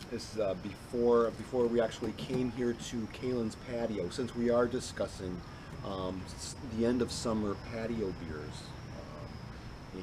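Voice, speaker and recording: A man from with a hoarse, raspy voice, rambling in casual chat as a podcast co-host on an outdoor patio. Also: lowish voice. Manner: rambling, chatty storyteller. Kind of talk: casual chat over beers. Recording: noisy outdoor recording.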